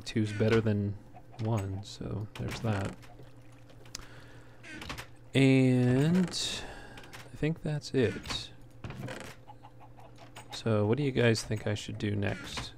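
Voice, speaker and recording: A man giving casual gameplay commentary in a halting way, as if thinking aloud while he plays. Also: fairly low pitch. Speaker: let's player, host. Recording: clear background noise.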